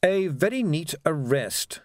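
A man voicing an unruffled, drily amused aristocratic city ruler in an audiobook, measured, formal and understated. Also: on the high side, lively intonation, no echo, clean studio sound, pitched above the performer's own voice. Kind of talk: dramatic audiobook character dialogue.

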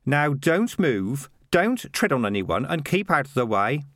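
A man voicing a gruff, bossy warrior rat leader in an audiobook, giving curt commands. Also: medium pitch, very animated, unhurried, fairly bright tone, no room sound, clean studio sound. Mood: commanding.

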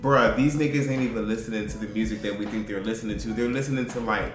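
A man in a casual podcast chat who tells stories in a relaxed way, with easygoing slang. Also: fairly low pitch, quick pace, faint music behind. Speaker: podcast co-host. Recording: echoey room.

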